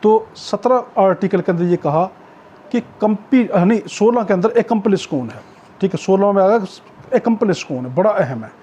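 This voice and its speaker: Male lecturer, Pakistani accent, high register with a mellow tone, emphatic and repetitive explaining, some background noise. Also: animated, unhurried, husky. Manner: emphatic, repetitive, checks understanding with rhetorical questions. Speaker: law teacher. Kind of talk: explanatory exam-prep lecture with acted-out examples.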